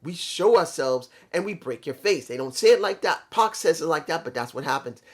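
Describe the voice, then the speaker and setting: A man's voice: a little high, expressive, normal speed. A man giving a casual solo review of a wrestling show, rambling and correcting himself as he stumbles over names.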